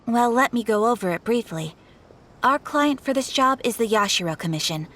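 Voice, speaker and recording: A woman's voice: a little high, expressive, moderate pace, a bit bright, a little hiss or hum. A woman playing a composed female guild receptionist in a game, giving a formal, courteous briefing.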